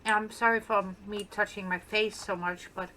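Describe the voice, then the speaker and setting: A woman's voice: mid-range voice, steady tone, moderate pace, pushed and bright, low-quality recording. A woman with a Danish accent, a native Danish speaker, chatting casually and playfully as she shows off her build in gameplay commentary. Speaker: gaming channel host.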